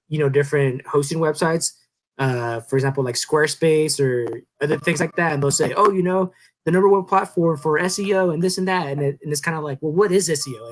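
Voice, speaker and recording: Man in his 30s, podcast co-host, slightly high voice, loose rambling explanations. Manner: relaxed, explanatory, many fillers and restarts. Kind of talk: casual podcast chat.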